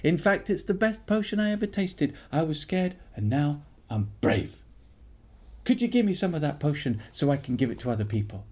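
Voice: mid-range voice, sing-song, normal speed, darkish tone. A storyteller voicing a young boy who now feels brave and eagerly asks a witch for her potion. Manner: earnest, eager.

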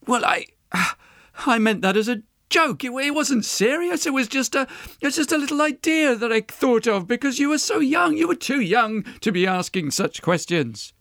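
A man's voice: very high voice, lively intonation, moderate pace, gravelly, a bit bright, dry recording, clean studio sound, pitched above the performer's own voice, full character voice. A man voicing a flustered, apologetic father who stammers and pleads with his daughter in an oral folk tale. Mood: flustered, defensive.

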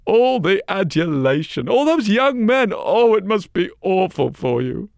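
A weeping old woman in rags, cryptic and sorrowful, her voice high and quavering with tears, as a male storyteller puts her on. The voice lifts well above his own and swings widely. It sounds dry and close. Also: very animated, unhurried, gravelly, a little mellow, no echo, full character voice. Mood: tearful.

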